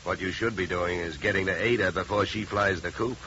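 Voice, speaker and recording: An actor playing a shrewd, wisecracking private detective in clipped, sardonic 1950s radio style. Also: male voice, low-pitched, brisk, natural voice. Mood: shrewd, confident. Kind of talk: 1950s hardboiled radio acting. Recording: dull, hissy 1950 radio recording.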